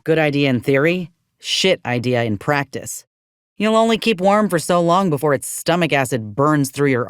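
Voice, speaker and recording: Folksy, wry soldier woman, lively drawl. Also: low-pitched, no room sound, studio quality. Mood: thoughtful, dry. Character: tough, wry, folksy female soldier and former combat medic companion. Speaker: companion character. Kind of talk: video game voice acting.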